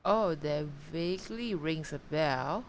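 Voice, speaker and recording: A woman giving casual live game stream commentary, thinking aloud haltingly and repeating herself. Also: low-pitched, lively intonation, a little hiss or hum. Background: game music.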